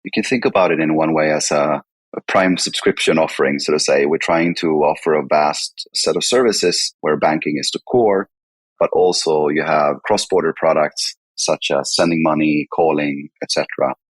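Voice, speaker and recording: A man with a Swedish accent speaks in a very deep, husky voice, recorded close and dry. He answers as a podcast guest in a conversational way, now and then repeating a word as he explains. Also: fairly even intonation, normal speed, dry recording. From northern Sweden. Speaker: podcast guest, company chief executive.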